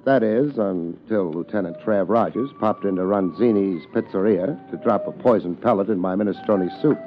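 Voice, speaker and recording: An American actor playing a wry, world-weary private detective in a 1950s hardboiled radio drama, with deadpan wisecracks. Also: male voice, on the low side, normal speed, lightly acted. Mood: wry narration. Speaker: lead radio actor and narrator. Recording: dull 1950s radio recording, music behind.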